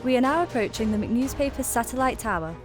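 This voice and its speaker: High, matter-of-fact female ship computer, played by a woman. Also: lively intonation, fast talker, soft background music, natural voice. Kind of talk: sci-fi radio play announcement.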